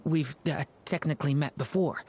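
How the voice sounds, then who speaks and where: A man playing a gentle, bashful youth in a video game, earnest and halting. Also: a little high, a little hiss or hum, lightly acted. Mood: bashful, glad. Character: shy, gentle, hesitant youth, softly earnest.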